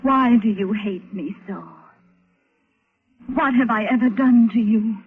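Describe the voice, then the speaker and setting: A woman's voice: on the high side, measured pace, husky. An actress playing an anxious, devoted, pleading woman in a melodramatic old radio drama style. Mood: hurt, pleading. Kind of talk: 1940s radio drama acting. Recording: tinny, noisy vintage radio.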